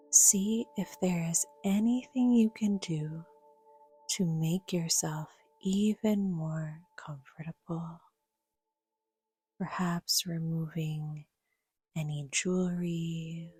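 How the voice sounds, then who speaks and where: A woman guiding a yoga nidra meditation in a soft, breathy, half-whispered voice, gentle and soothing, with measured pauses. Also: on the low side. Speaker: guided meditation teacher.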